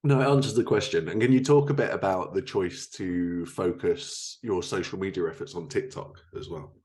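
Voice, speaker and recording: A man's voice: low-pitched, moderate pace, a little mellow. A man hosting a podcast, asking questions in a conversational interview style.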